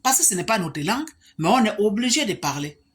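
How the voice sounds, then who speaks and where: A woman's voice: medium pitch, very animated, a little fast. A woman from Africa, talking straight to the camera as a vlogger and putting emphatic rhetorical questions to the viewer. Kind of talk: monologue to the camera.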